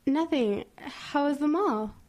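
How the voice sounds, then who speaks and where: A woman's voice: high-pitched, takes it slowly, darkish tone. A woman playing a moody, defensive teenage schoolgirl in naturalistic audio drama dialogue. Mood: anxious, sulky.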